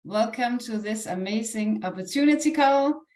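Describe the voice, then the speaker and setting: A woman's voice: fairly high pitch, moderate pace, a little mellow. A woman with a Danish accent giving a live online motivational sales presentation in an earnest, encouraging, conversational way. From Denmark. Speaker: online presenter, network marketing ambassador. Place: indoors, echoey room, live stream. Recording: roomy, echoey live online call.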